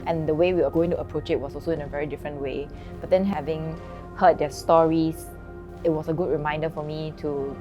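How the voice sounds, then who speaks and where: A woman director reflecting thoughtfully in an interview, pausing to search for words. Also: deep voice. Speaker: director of the production. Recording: music clearly underneath.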